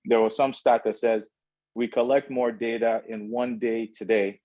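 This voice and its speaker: A man presenting at an online info session, laying out learning steps in a steady explanatory way with some fillers. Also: medium pitch, normal speed.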